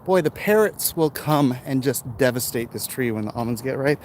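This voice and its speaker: A man's voice: medium pitch, expressive, normal speed, a little mellow. American man chatting outdoors over street noise. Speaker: livestream host. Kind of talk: casual walking livestream chat. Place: outdoors, walking along neighborhood streets. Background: urban outdoor ambience, vehicles.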